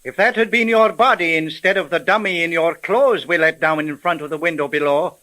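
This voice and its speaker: A man playing a wisecracking, commanding homicide sergeant in a 1930s radio drama, with colloquial, brisk speech. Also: a little high, pushed and bright. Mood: wry, firm. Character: gruff, wisecracking male police homicide sergeant.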